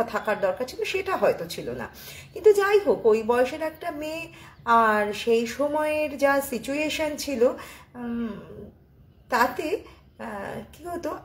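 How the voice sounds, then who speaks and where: A woman telling a story informally and unscripted, hesitant and conversational, explaining as she goes. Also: a little high. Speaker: storyteller on a ghost story show.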